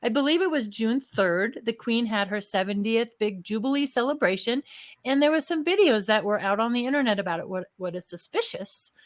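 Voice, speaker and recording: A woman's voice: on the high side, animated, fairly quick. A woman co-hosting a casual talk show, skeptical and easygoing, presenting the case of the week. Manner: skeptical, easygoing, laughs often.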